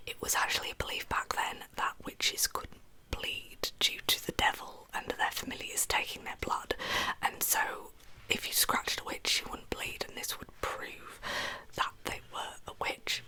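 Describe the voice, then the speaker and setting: A woman whispering a true crime story in a soft-spoken ASMR style, with chatty, conversational asides. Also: rough recording.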